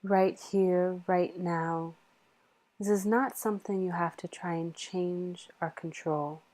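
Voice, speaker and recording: A woman leading a guided meditation in a soothing, measured manner with long pauses. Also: on the low side, a little mellow.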